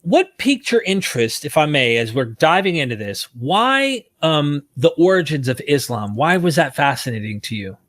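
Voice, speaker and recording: A man's voice: a little high, sing-song, moderate pace, hardly any pauses, fairly bright tone, no echo. A man hosting an interview podcast, asking questions and pointing listeners to linked material in a friendly tone. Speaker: podcast host.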